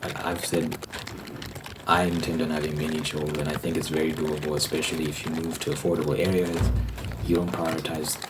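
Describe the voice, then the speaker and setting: A man's voice: rumbling low, flat delivery, brisk. A man hosting an ASMR video, rambling casually in an informal monologue and repeating phrases for emphasis. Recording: clear typing and crinkling noise.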